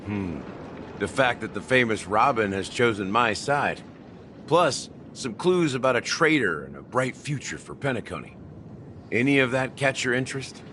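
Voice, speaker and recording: An actor playing a smug, playful young schemer in a game, teasing and theatrical. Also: male voice, medium pitch, sing-song, moderate pace, bright, forceful tone, a little hiss or hum. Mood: persuasive. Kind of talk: scripted game voice acting.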